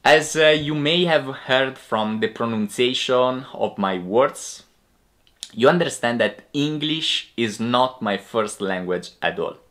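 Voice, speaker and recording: A man's voice: mid-range voice, takes it slowly, a bit bright. A young man in his twenties from Italy, a native Italian speaker with an Italian accent, preaching a sermon as an evangelist. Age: 20s. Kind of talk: sermon addressed to camera. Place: indoor room with bookshelves.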